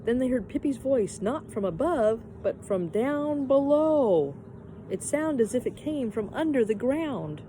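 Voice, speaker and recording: A woman's voice: high voice, lively intonation, measured pace, warm tone, slight background noise, rough recording. A woman narrating a children's story expressively, like a teacher reading aloud.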